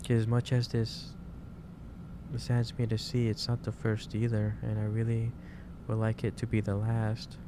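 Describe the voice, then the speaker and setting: A man playing a gentle, caring office boss in a soft-spoken ASMR roleplay, speaking haltingly and repeating himself. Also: fairly low pitch, monotone, background noise, natural voice. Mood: gently concerned. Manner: hesitant, halting, repeats phrases. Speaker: roleplay performer.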